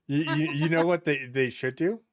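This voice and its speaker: A man chatting casually as a podcast host, telling stories in a rambling, excitable way and swearing freely. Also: on the high side, no echo, clean studio sound.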